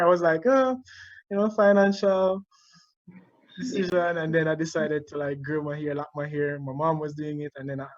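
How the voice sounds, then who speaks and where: A man from Jamaica with a Jamaican accent, casually telling a personal story in a discussion, animated and laughing at himself. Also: on the high side, highs cut off. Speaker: discussion participant.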